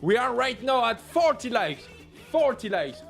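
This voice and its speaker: A man hosting a live stream, chatting casually in English with a non-native accent, laughing often and filling pauses with "uh". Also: very high-pitched, very animated, vocal fry, fairly bright tone, faint music behind. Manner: laughs often, talks with many "uh" fillers. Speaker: livestream host.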